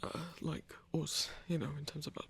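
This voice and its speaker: A performer playing a lonely, self-doubting werewolf in an intimate audio roleplay, gentle and awkward, with a stammering, hesitant delivery. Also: medium pitch, fairly even intonation, normal speed, gravelly, slightly rough, whispered, exaggerated voice. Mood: flustered, unsure.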